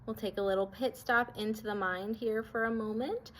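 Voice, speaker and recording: A woman leading a guided body scan meditation as an instructor, speaking in a gentle, reassuring way that gives permission. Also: a little high, fairly even intonation, normal speed, slight background noise.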